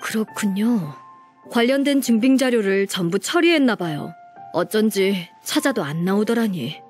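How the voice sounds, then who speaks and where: Girl voice actor as polite nervous junior coworker in a game, mid pitch, soft music under it. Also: lively intonation, moderate pace, natural voice. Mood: earnest, worried. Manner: polite, deferential. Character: junior female colleague, earnest, deferential, a little anxious. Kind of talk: video game character acting.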